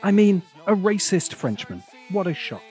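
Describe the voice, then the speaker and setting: A man narrating a scripted video essay in a sardonic manner, with dramatic pauses. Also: sits high, big pitch swings, darkish tone, faint music behind.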